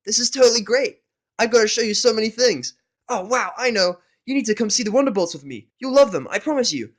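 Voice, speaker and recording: A brash young female pegasus pony, acted out by a man, pitched high and loud. The character is eager, boastful and loyal, talking in slangy exclamations for an audio drama. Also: lively intonation, fast. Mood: excited. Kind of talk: audio drama of fan fiction.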